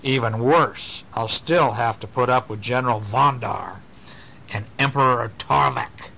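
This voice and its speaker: A man's voice: medium pitch, a little slow, creaky, pushed and bright, exaggerated voice. A man doing a sneering space villainess. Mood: bitter. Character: scheming female space villain.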